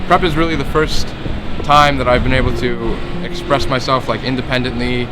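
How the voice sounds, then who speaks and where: A man's voice: mid-range voice, steady tone, normal speed, background noise. A young man in his late teens from New Jersey, a scholarship student speaking earnestly and restarting sentences. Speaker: prep school scholarship student. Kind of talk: testimonial interview. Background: loud background music.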